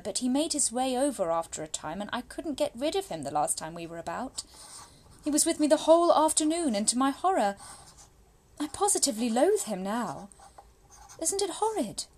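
A woman playing an anxious, spirited young Victorian gentlewoman, confiding in her brother through letters in a formal, genteel and expressive dramatic monologue. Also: a little high. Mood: exasperated, dismayed.

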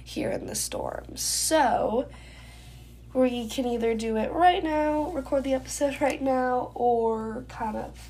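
A woman's voice: high voice, takes it slowly, darkish tone. A young woman hosting a casual solo podcast, chatting loosely with lots of ums, her voice stuffy and congested from a recent illness. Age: young adult. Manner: chatty, many ums, getting ready while talking. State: congested, stuffy nose, sore throat. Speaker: podcast host.

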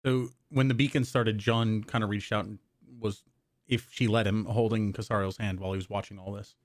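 A man's voice: on the low side, steady tone, fairly quick, dry recording, studio quality. A man playing a tabletop game, casually explaining what his character does. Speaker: player.

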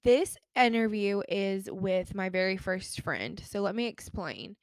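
A young American woman hosts a podcast in a casual, chatty way. She rambles informally from thought to thought with lots of filler "like". Her voice sits at an average pitch and is recorded close and dry. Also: animated, unhurried, no room sound. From United States. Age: young adult. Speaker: podcast host. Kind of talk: casual stream-of-consciousness podcast intro.

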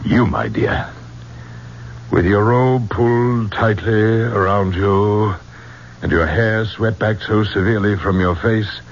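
A man's voice: fairly low pitch, takes it slowly. An actor playing an elderly, courtly male archaeologist in a 1970s radio drama, speaking theatrically and rhapsodically. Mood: enraptured. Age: older. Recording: muffled, hissy old radio broadcast.

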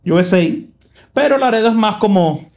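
A fairly high-voiced man hosts a relaxed podcast, talking loosely and circling back with fillers and restarts. He sounds easygoing and laughs readily, recorded in a church live room. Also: moderate pace, creaky, a little hiss or hum. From South Texas. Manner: chatty, rambling with many fillers and restarts, laughs easily. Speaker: podcast host. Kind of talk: casual podcast chat.

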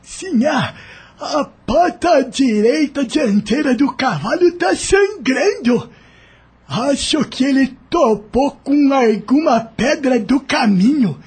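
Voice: high-pitched, animated, slow, a little mellow. An actor in a radio drama playing a humble, deferential rural coachman with rustic speech. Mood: sorrowful, apologetic.

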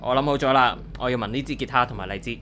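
A man's voice: medium pitch, rapid-fire, bright, forceful tone, faint music behind, natural voice. A man acting an impulsive, romantic young musician boyfriend in a radio drama, with a Hong Kong Cantonese accent. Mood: earnest, devoted.

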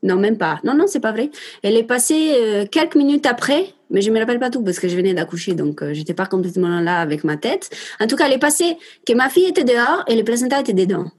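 A woman's voice: on the high side, expressive, quick pace, husky. Passionate young Italian-accented woman. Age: 20s. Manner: passionate and emphatic, addresses listeners directly. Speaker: mother sharing her home birth story. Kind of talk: casual spoken monologue.

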